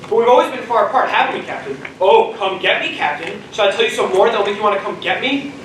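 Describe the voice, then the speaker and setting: A young male actor as a mean middle-aged astronaut, bitter and taunting in a high voice. The theatrical delivery rings through a very echoey space, picked up from far off. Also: animated, fast talker, fairly bright tone. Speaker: student actor. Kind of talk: theatrical stage acting. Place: stage of a school theater. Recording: echoey stage, distant mic, faint noise.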